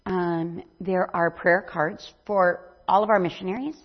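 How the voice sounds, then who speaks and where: An older woman with a lowish voice talks through a church mission presentation. She rambles warmly, filling gaps with ums. The sound is dull and lo-fi. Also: fairly even intonation, measured pace. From not from Brazil. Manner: warm, chatty, rambling with many ums. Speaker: missionary speaker.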